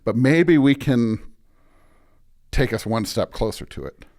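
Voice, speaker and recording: A man's voice: mid-range voice, lively intonation, unhurried, darkish tone. A man talking as a pastor in an interview, earnest and emphatic, often building his points through repeated phrases. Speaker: pastor, podcast guest. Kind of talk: conversational interview talk with preacherly stretches.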